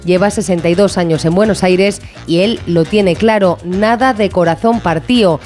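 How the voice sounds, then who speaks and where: A woman reporter reading a scripted news voice-over with crisp delivery. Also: on the low side, moderate pace, darkish tone, soft background music.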